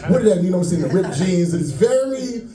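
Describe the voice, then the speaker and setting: Crude laughing comedian in an echoey club. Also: male voice, high voice, animated, fast talker, distant mic, a crowd in the background. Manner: loose, crude, laughing at his own jokes. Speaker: stand-up comedian. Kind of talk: stand-up crowd work.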